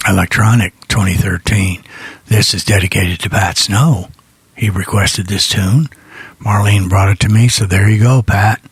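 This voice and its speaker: A man with a raspy voice hosting a music radio show in a casual, unhurried, folksy, rambling way. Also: lowish voice, dry recording. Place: small radio studio.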